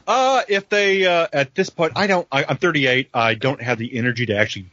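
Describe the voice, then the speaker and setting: A man's voice: mid-range voice, brisk, bright, forceful tone, no echo. Dry, self-deprecating man from Kentucky. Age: 30s. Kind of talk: casual chat. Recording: dry dull lo-fi sound.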